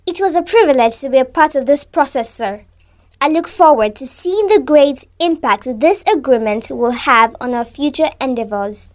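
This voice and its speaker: A woman's voice: sits high, animated, moderate pace. A woman voicing a poised, alluring young businesswoman in a romantic story, polite and eager in a formal way. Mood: grateful, respectful.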